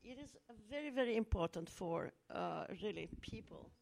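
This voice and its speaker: A woman's voice: mid-range voice, lively intonation, moderate pace, creaky, a little mellow. A middle-aged woman from Turkey telling a personal story in a public talk, earnest and reflective, with fillers and restarts. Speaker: speaker at a talk.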